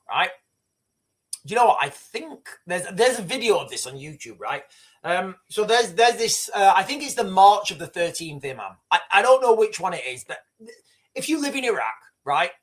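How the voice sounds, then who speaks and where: A man's voice: high-pitched, fairly bright tone. A middle-aged man hosting a livestream, rambling through casual commentary and stories with frequent restarts and swearing. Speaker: livestream host. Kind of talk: casual live commentary and storytelling.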